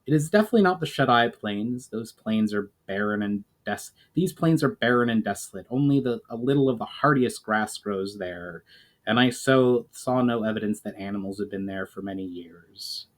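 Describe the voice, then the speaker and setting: A man's voice: on the low side, moderate pace, close to an everyday voice. A man voicing a grave, knowledgeable elf mage on a quest, speaking formally and earnestly in a storybook reading. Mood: puzzled.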